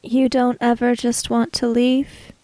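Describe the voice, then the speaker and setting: Tabletop game role: guarded, anxious, stubborn samurai-era woman, played by a woman. Slow, clipped lines, slightly high voice. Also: completely flat delivery, darkish tone, no room sound, lightly acted. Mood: resigned. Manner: terse, guarded, deliberate.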